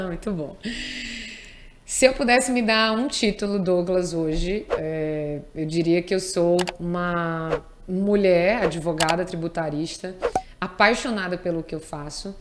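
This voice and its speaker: A woman's voice: lowish voice, slow, noisy background. A woman, a tax lawyer talking earnestly in an interview and repeating key words for emphasis. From Piauí, Brazil. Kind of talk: conversational interview.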